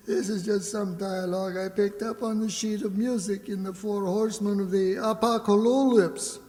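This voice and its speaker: Wisecracking broke maestro, acted by a man, mock-dignified, high-pitched, vintage radio comedy, echoing hall. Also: steady tone, measured pace, darkish tone. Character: wry, broke musician maestro, wisecracking gambler. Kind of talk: old-time radio comedy acting.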